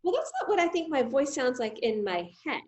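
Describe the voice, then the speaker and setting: A middle-aged woman interviewing a guest in a relaxed, chatty way, affirming often and leaning on fillers. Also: high-pitched, brisk. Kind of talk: conversational interview.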